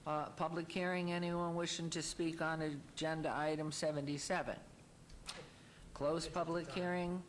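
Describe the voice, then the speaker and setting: A woman mayor chairing a city council meeting, calling on speakers in a brisk, procedural way. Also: deep voice, little pitch movement.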